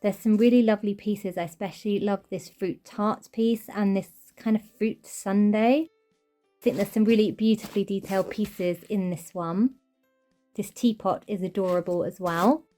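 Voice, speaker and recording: Chatty woman with a mellow, cold-worn voice. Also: mid-range voice, steady tone, moderate pace, soft background music. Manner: chatty, enthusiastic, gushing over tiny details. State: slightly off voice while recovering from a cold. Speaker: toy collector channel host. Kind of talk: casual show-and-tell collection update.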